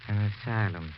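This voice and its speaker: An actor playing a grieving, obsessed widower in a 1940s radio mystery, with a halting, melodramatic delivery. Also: male voice, lowish voice. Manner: halting, melodramatic, swinging from murmur to cry. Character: grieving widower, haunted, obsessed, desperately pleading. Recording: muffled, hissy 1940s radio recording.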